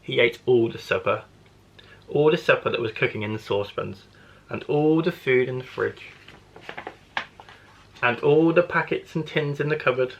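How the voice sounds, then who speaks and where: A man's voice: medium pitch, moderate pace, creaky, pauses often, muffled recording, slight background noise. A man, a primary school teacher, reading a children's storybook expressively to young listeners.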